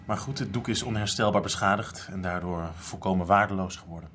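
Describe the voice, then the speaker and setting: An actor playing a calm, analytical investigator in a radio drama, measured and explanatory. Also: male voice, low voice, a little hiss or hum. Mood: matter-of-fact. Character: calm, analytical male companion working out a mystery.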